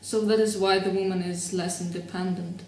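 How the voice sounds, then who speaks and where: A woman's voice: lowish voice, fairly even intonation, moderate pace, a little mellow, slight background noise. Hesitant woman, echoey room. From Russia. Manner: hesitant, searching for words. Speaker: interviewee. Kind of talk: interview answers. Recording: echoey room, mic at a distance.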